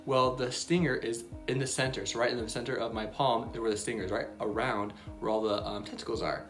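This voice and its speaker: A woman's voice: rumbling low, steady tone, fairly quick. A woman hosting a casual Bible lesson for young children, chatty and bubbly, with many fillers. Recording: soft background music.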